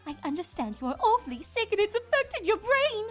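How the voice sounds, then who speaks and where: A woman's voice: very high-pitched, very animated, brisk, a bit bright, soft background music, heavily acted. A woman voicing a dramatic, fussing, motherly lady pony in a cartoon fan fiction, with gushing, refined endearments. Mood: frantic concern.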